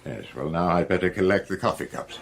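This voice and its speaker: Fussy old butler, very expressive. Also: male voice, deep voice, fairly quick, creaky, soft background music. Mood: scolding. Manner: scolding, deferential to superiors. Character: fussy, dignified old English butler, stern with underlings. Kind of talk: radio play acting.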